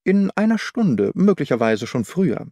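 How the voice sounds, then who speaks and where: A man's voice: on the high side, big pitch swings, dark tone, dry recording, voice pushed up. Man playing self-assured, secretive detective. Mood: guarded, amused. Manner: measured, reasoning, withholding. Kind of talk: audiobook character dialogue.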